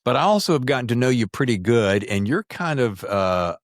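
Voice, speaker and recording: A man's voice: mid-range voice, lively intonation, fairly quick, no room sound, clean studio sound. A man hosting a conversational interview podcast, asking rambling, circling questions. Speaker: podcast host.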